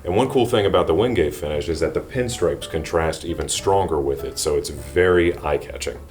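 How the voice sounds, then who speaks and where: A man with a very deep voice hosts a product review. He explains features in an enthusiastic, presenterly way. Faint music plays behind him. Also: moderate pace, darkish tone. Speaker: product reviewer and channel host. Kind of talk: scripted product review narration to viewers.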